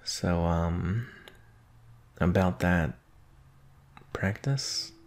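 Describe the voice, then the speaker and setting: A man's voice: on the low side, darkish tone, heavily acted. A man playing a nervous young werewolf student with a crush, speaking hesitantly and intimately in an ASMR roleplay. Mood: shy, relieved. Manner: hesitant, bashful, halting, sighing.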